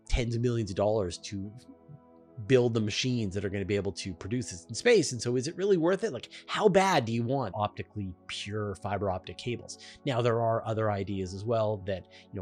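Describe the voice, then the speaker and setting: A man hosting a question-and-answer show, explaining things in an emphatic, informal, conversational way. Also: fairly low pitch. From Canada.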